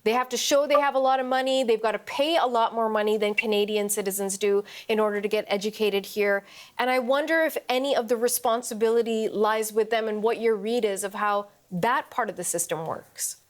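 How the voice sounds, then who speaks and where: A woman news interviewer putting measured, probing questions to a guest. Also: a little high, steady tone.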